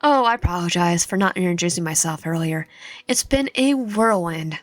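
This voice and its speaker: A woman's voice: on the low side, animated, normal speed, dry recording, close to an everyday voice. A woman voicing a courteous, earnest young prince in a visual novel, polite in manner. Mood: polite, apologetic. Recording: music behind the voice.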